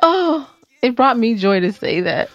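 A woman's voice: a little high, sing-song, measured pace, no room sound, highs cut off, faint music behind. A woman co-hosting a podcast in casual banter, with a playful, teasing way of talking. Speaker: podcast co-host.

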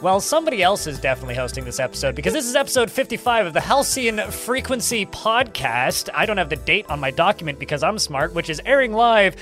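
A man's voice: high voice, very animated, fast talker, few pauses, a bit bright, faint music behind. A man hosting a podcast in casual banter, teasing in a dry, mock-serious way. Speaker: podcast host.

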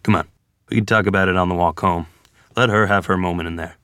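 A man's voice: low-pitched, steady tone, quick pace, fairly bright tone, smooth, no echo. A man acting genial, wry men in a dramatic script reading of a period story. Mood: gentle, wry. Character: genial jazzman or wry rural ferryman father. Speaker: actor at a screenplay table read.

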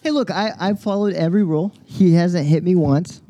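A man in a rowdy casual chat among friends, loud and joking, often doing mock voices. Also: high-pitched, fairly quick, no echo, muffled recording.

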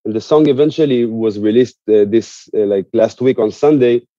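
A man speaking as an interview guest, explaining in an earnest, conversational way with halting, repeated words. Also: mid-range voice, dark tone, dry recording, highs cut off. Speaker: interview guest, musician and activist.

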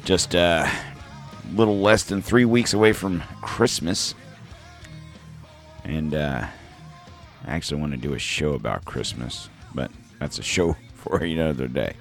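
Deep, hoarse-voiced man hosting a casual podcast with soft music underneath. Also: unhurried. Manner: rambling, self-deprecating, reads sponsor ads. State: hoarse from throat trouble. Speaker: podcast host. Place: home podcast studio.

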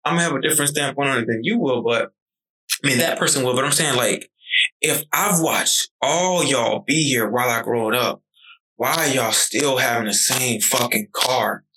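A man's voice: medium pitch, moderate pace, sharp tone, low-quality recording. A young man in his twenties talking casually and animatedly on a podcast, swearing freely as he tells stories. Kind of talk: casual conversation.

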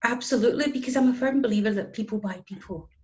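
A woman's voice: a little high, quick pace. A Scottish woman in her forties, a guest who works as a business connector, talking earnestly and with emphasis in a casual interview. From Scotland. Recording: dull lo-fi audio.

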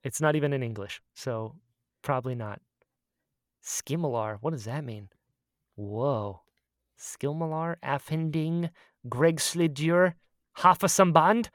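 A man's voice: a little high, slow pace, long pauses, dry recording, studio quality. A man co-hosting a podcast, chatting casually in a jokey, playful manner. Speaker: podcast co-host. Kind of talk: casual chat.

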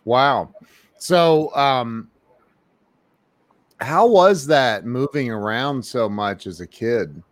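Male podcast host, unhurried, halting with fillers, dry close sound. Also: mid-range voice, lively intonation, no echo. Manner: halting, many fillers and restarts. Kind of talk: casual interview.

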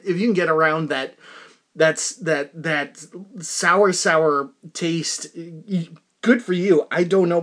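A middle-aged man hosting a podcast in a rambling, casual monologue, animated, often interrupting himself and repeating words for emphasis. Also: sits high. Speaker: podcast host.

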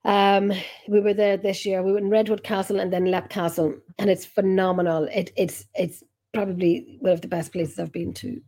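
Chatty Irish woman with a mellow voice. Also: mid-range voice, little pitch movement, moderate pace, hardly any pauses. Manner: chatty, rambling, self-interrupting storyteller. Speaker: podcast host and paranormal investigator. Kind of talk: casual chat over video call. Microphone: video call.

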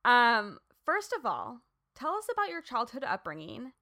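A woman hosts a casual interview, her voice bright and a touch higher than most. She rambles warmly through her questions, leaning on fillers as she goes. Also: wildly swooping pitch, moderate pace. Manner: chatty, rambling, lots of "like" and "you know". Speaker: podcast host.

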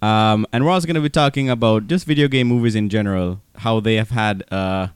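A man's voice: fairly low pitch, a little fast, clear, resonant tone, no echo, studio quality. A man hosting a podcast in casual, jokey conversation, often chuckling as he talks. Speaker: podcast host.

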